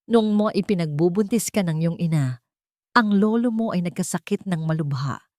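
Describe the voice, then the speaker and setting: Woman voicing a calm, dignified old grandmother. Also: lowish voice, normal speed, no room sound, studio quality, close to an everyday voice. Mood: calm, earnest. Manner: calm but clear. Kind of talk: audio story drama.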